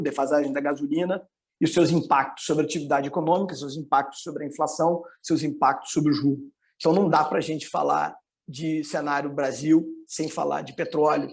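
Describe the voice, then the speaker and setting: A middle-aged man with a Carioca Rio accent, an economist giving an informal, explanatory presentation with hesitant pauses. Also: on the high side, creaky, darkish tone. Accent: Carioca (Rio de Janeiro) Brazilian. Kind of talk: informal online presentation with slides.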